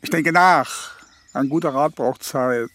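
A man's voice: mid-range voice, slow pace, fairly bright tone, a little hiss or hum. A man playing Good Advice come to life, a pompous, touchy, ponderous figure in a children's radio play. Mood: pondering. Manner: ponderous, pedantic, riddling.

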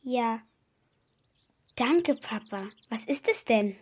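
A woman's voice: a little high, animated, slow, slightly rough, dry recording, heavily acted. A woman playing an affectionate, slightly anxious young girl in a German radio play for children, speaking in an earnest, childlike way. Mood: hopeful.

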